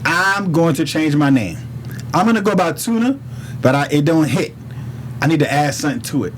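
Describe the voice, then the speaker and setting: Higher-voiced New York man telling stories. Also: normal speed, a little hiss or hum. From New York City (Harlem). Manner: animated street slang, profane, quotes dialogue. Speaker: podcast guest, rapper. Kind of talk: casual storytelling in conversation.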